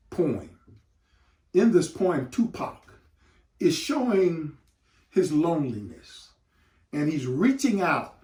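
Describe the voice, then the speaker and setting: A man speaking as an educator to students, in a deliberate, emphatic way with dramatic pauses and repeated phrases. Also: a little high. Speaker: educator hosting a read-aloud for students and parents. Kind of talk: read-aloud lesson addressed to students. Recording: roomy echo, distant microphone.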